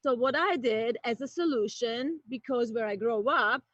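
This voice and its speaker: A woman from Croatia performs a playful stand-up set in a high, bright-sounding voice. She talks slowly and deliberately, then bursts into excitement. The audio is dry and a little dull, like a home streaming mic. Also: no room sound. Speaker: stand-up comedian.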